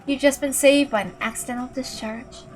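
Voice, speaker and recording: A woman performs a cold, unconcerned woman killer from a visual novel. She speaks in a calm, mocking, smug way with a slightly high voice, over background music. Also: normal speed, natural voice. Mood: cold, reasoning. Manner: calm, smug, matter-of-fact. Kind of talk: dramatic visual novel character reading.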